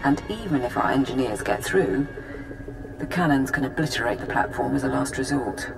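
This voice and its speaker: A female military intelligence officer in a video game, with a very low voice. She is pragmatic, dry-witted and businesslike as she briefs her commander. Music runs clearly behind her. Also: normal speed. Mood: grave, factual. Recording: game audio under loud music. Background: orchestral game music.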